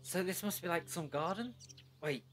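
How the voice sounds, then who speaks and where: A man's voice: high-pitched, animated, normal speed, over music. A man playing a laid-back, teasing young companion in an audio drama. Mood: easygoing. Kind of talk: fan audio drama acting.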